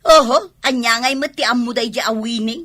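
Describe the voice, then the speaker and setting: A woman's voice: a little high, moderate pace, a bit bright. A woman playing a sulky, indignant Ilocano wife who whines and grumbles in a comedy radio drama. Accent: Filipino, Ilocano. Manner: whining, grumbling.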